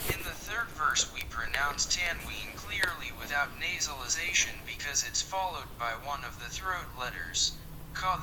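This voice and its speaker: Egyptian man in his thirties, noisy room. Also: on the high side. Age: early 30s. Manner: reads aloud in a slow, careful recital. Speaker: Quran teacher. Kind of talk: scripted mock job interview practice.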